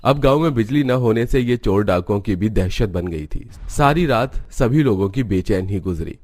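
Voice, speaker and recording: A man's voice: fairly low pitch, expressive, normal speed, a little mellow, no room sound, studio quality. A man narrating a scripted cartoon village story.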